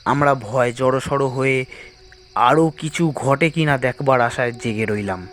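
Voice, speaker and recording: A man's voice: medium pitch, measured pace, a bit bright. A man narrating a scripted first-person horror story in a dramatic, suspenseful way.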